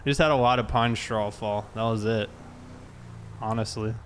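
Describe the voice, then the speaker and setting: American male game streamer, relaxed and conversational, chatting casually over faint vehicle noise. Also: lowish voice, normal speed. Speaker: game livestream host. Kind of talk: casual livestream chat while playing.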